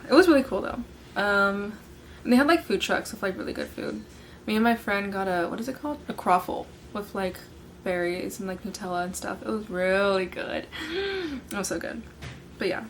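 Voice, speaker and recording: A young woman in her late teens hosting a casual podcast, hoarse from yelling, chatting in a bubbly way and filling nearly every sentence with "like". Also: medium pitch, slight background noise. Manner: bubbly, filler-heavy, says "like" constantly. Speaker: podcast host. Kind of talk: casual chat.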